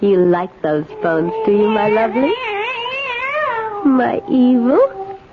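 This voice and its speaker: Pushy young girl in an old radio drama, really high and animated, taunting, super sure of herself. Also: slow pace. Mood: taunting, sly. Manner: bossy, taunting, self-assured. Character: forceful, brazen young girl who moves in uninvited. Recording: dull, noisy old radio broadcast.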